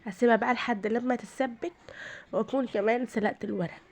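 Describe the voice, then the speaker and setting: A woman's voice: on the high side, steady tone, fast talker. A tired woman with a rural Egyptian accent chats through her home cooking, explaining each step to her viewers. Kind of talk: casual cooking narration. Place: home kitchen.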